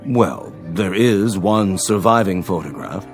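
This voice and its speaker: A man acting in a radio drama as an urbane, self-satisfied rich gentleman, polished and leisurely. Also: deep voice, lively intonation, slow pace, faint music behind, exaggerated voice. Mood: smug, breezy. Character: smug, urbane, very rich inherited-money clubman.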